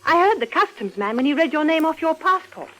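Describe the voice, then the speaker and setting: A bright-eyed, cocky young boy in an old radio drama. The voice is high and very animated, full of eager questions and mock-tough bravado. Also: quick pace. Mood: curious, eager. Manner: cocky, inquisitive, talks in gangster slang. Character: brash eager young boy, American-movie slang, British schoolboy. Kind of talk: vintage radio drama acting. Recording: vintage radio drama recording.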